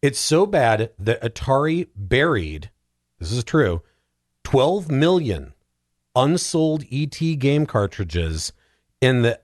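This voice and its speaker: Animated man telling a story. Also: medium pitch, slow pace, no room sound, studio quality. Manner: animated, emphatic repetition. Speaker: podcast guest. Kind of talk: casual comedic storytelling.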